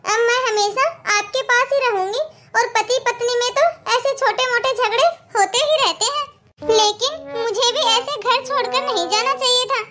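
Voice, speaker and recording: A woman voicing a devoted, forgiving young wife in a cartoon moral story, with a gentle, conciliatory manner. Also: very high voice, moderate pace, heavy background noise.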